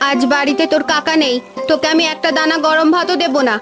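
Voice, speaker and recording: A woman voicing a stingy, mean village aunt in a cartoon, scolding in a rapid stream. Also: high voice, steady tone, natural voice. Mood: hostile scolding. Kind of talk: cartoon voice acting. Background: music.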